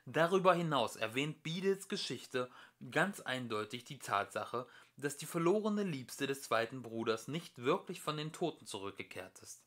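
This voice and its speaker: Man reading an audiobook with lively pitch. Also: normal speed, few pauses. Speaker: amateur audiobook reader. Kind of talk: scripted audiobook narration.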